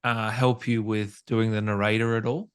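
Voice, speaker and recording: A man with a medium-pitched voice hosts an interview in a casual, conversational style. He speaks informally as he puts questions to his guest. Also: little pitch movement, moderate pace, smooth. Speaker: interview host.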